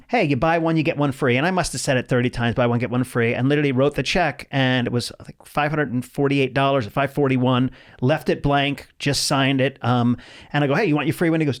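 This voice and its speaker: A man in his forties, a comedian guest telling rambling, animated stories in a casual podcast chat. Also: medium pitch, fairly quick. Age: 40s.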